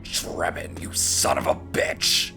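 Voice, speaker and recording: A man voicing a gruff, foul-mouthed old soldier hero with a raspy, deadpan delivery in a parody game dub. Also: slow pace, over music, close to an everyday voice. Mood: angry. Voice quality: gravelly, raspy. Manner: deadpan, swearing.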